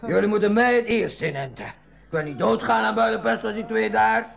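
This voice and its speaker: Pirate captain, Flemish radio drama. Heavy gravel in the voice, blustering, gullible, dull old broadcast sound. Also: male voice, high-pitched, fairly quick, over music. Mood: panicked. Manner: blustering, stammering when alarmed. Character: gruff, gullible pirate captain, bossy when frightened. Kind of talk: 1980s school radio play acting. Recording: dull, band-limited 1980s radio recording.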